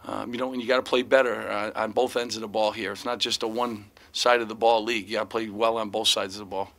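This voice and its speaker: A middle-aged man fields questions at a postgame press conference, his voice bright and forward. He talks into a table mic, circling his points with frequent fillers and false starts. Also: lowish voice, level tone, fast talker. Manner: rambling, frequent "you know" fillers and restarts. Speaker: head basketball coach. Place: press room in front of sponsor backdrop. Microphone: table mic in front of him.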